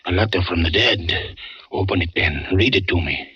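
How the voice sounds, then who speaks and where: An actor playing a dying old stage actor and father, grieving and halting, in a 1940s radio drama style. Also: low-pitched, bright, forceful tone, slightly rough, no room sound, full character voice. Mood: weak, urgent. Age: older. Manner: halting, repeating words, theatrical. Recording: muffled old radio recording.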